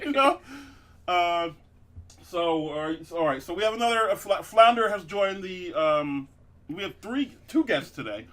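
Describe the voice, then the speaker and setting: A man hosting a loose comedy podcast, his voice bright and a little high for a man. He rambles through his points with plenty of fillers, restarts and laughs. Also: lively intonation, unhurried. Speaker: podcast host. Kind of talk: casual comedic chat.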